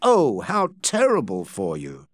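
Gullible storybook gentleman read by a male narrator: earnest, exclamatory, wide pitch swings, unhurried. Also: wildly swooping pitch, no room sound. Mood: sympathetic. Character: gullible, easily shocked gentleman Joss, a grown man.

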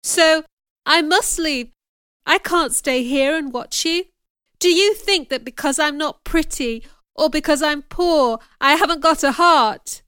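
Woman performing a young governess, high clear voice, bright, hurt yet defiant, emphatic, storybook heroine. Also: lively intonation, unhurried, dry recording, clean studio sound, exaggerated voice. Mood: indignant. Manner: emotional, emphatic.

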